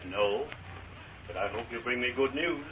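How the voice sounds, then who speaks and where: An actor playing a grave army colonel in a 1940s radio drama, speaking in a measured, formal way. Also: male voice, mid-range voice, animated, close to an everyday voice. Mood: grave, hopeful. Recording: distant, noisy, tinny 1940s radio broadcast.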